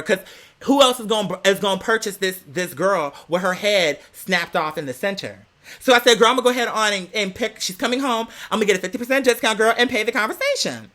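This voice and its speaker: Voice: medium pitch, quick pace, pushed and bright. A vlog host telling a personal story in a sassy, emphatic, slangy way, theatrically acting out the dialogue. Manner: sassy, slangy, emphatic, peppering talk with "girl"; theatrical, acts out quoted dialogue. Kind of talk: animated gossipy storytelling vlog.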